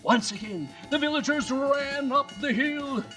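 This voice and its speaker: A woman hosting and reading children's bedtime stories in an animated, theatrical way with dramatic pauses. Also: high voice, recorded from a distance, faint music behind. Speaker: children's bedtime storyteller and host. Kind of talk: animated scripted storytelling for children.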